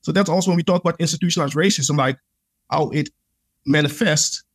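A man's voice: on the high side, fast talker, slightly rough. A man, a podcast guest, telling his own experiences in an earnest, reflective way, with many "like" fillers. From Netherlands. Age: adult. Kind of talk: conversational interview storytelling.

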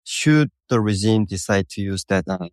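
A man in his thirties from North Korea with a Korean accent, answering interview questions in a halting, thoughtful, explanatory way. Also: fairly low pitch, expressive, no echo, studio quality. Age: 30s. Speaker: podcast guest recounting his life.

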